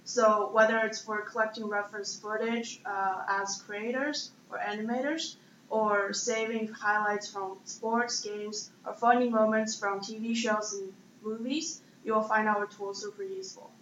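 A woman's voice: mid-range voice, steady tone, measured pace, a bit bright. Young Chinese-accented woman in echoey room. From Shanghai, China. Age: 20s. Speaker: startup founder being interviewed. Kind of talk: conversational interview answers. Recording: echoey room, dull sound, faint noise.

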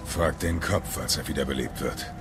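Casting: gruff warrior father, extremely low gravelly voice, curt commands, audio drama with music behind. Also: moderate pace. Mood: stern, curt. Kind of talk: German audio drama acting.

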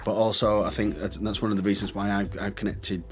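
A man with a very low voice and a Mancunian accent talks at length as an interview guest, rambling and correcting himself as he goes. Faint music plays in the background. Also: flat delivery, fast. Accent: Mancunian English. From Manchester, England. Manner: chatty, rambling, self-correcting. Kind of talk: casual interview chat.

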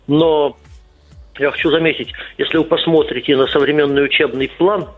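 A middle-aged man, a guest on a radio talk show, explaining at a measured pace with frequent pauses and fillers. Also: a little high, steady tone, soft background music. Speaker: guest lawmaker. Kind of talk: radio interview answers. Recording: tinny phone-like radio line.

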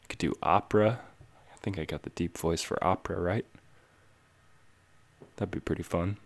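A man with a very low, deep voice chats casually between tracks on his music show. He rambles and makes light of himself, keeping the talk loose and easy. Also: normal speed, creaky. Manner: relaxed, rambling, self-joking. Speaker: radio show host.

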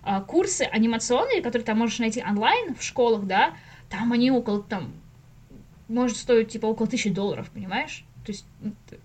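Fast-talking, higher-pitched woman in casual conversation. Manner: animated storytelling, acts out quoted remarks, laughs often. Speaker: animator and animation teacher, podcast guest. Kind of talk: casual interview chat.

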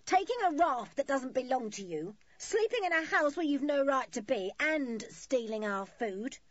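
A woman voicing an angry, bossy scheming woman crook from a children's storybook, in a sharp, commanding manner. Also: mid-range voice, very animated, normal speed, no room sound, dull audio. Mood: angry accusing.

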